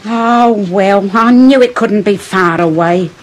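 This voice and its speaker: An actress playing a scatterbrained, easily exasperated middle-aged housewife in 1950s radio comedy. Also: female voice, medium pitch, slow, a little hiss or hum, close to an everyday voice, rough recording. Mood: flustered. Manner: flustered, indignant.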